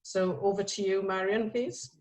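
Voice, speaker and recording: A woman's voice: mid-range voice, fairly even intonation, normal speed, a little mellow. A woman hosting an online meeting over a video call, practical and friendly, with some fillers. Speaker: event host.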